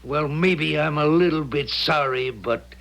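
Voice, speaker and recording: An actor playing a crude, ruthless, greedy thief with a gravelly voice and folksy tough-guy talk in a 1970s radio drama. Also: a little high, measured pace, a bit bright. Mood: coldly threatening. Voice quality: husky, gravelly.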